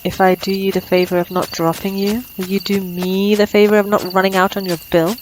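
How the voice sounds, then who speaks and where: A woman's voice: mid-range voice, little pitch movement, brisk, background noise, close to an everyday voice. Woman acting a teasing female vampire, night insects behind. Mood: playful, taunting. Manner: teasing, sardonic, taunting. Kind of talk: ASMR roleplay acting.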